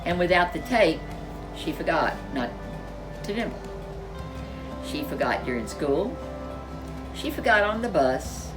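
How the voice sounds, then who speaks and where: A woman storyteller reading a children's picture book aloud, expressively, with drawn-out emphasis and chatty asides. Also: fairly low pitch, big pitch swings, over loud music, poor audio. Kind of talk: expressive read-aloud narration for young children.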